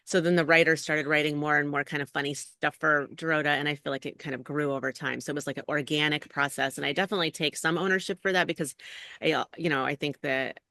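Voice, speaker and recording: A middle-aged woman with a bright, forceful voice talks loosely about her craft as an interview guest. She rambles and fills her sentences with "like" and "you know". The sound is dry and close, with no room around it. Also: low voice, fairly even intonation, quick pace, dry recording. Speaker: actor and acting coach, guest. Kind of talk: casual interview talk.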